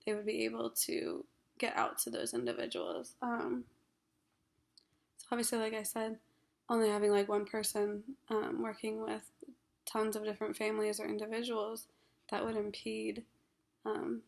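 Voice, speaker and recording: A young woman from Ohio in her twenties, a graduate counseling student introducing herself for a class, hoarse from a cold, halting with many ums and frequent throat clearing. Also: mid-range voice. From Ohio, USA. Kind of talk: class self-introduction video.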